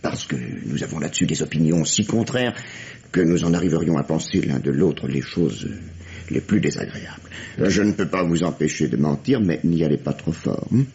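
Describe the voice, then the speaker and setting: A man's voice: very deep voice, expressive, normal speed, vocal fry, mellow, exaggerated voice. An actor playing a shrewd, middle-aged police detective in a radio mystery, teasing and condescending as he interrogates. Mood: probing, firm. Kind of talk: 1950s French radio mystery acting. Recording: dull, vintage radio drama sound.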